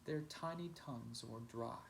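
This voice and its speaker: A man's voice: medium pitch, a little slow, gravelly, a little hiss or hum. A man reading a children's picture book aloud as a teacher, with dramatic, emphatic narration. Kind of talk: expressive storybook narration.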